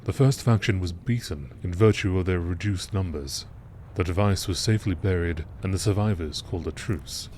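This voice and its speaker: A man reading scripted lore texts in a nature documentary narration style, with measured, deliberate phrasing. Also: deep voice, a little mellow, slight background noise.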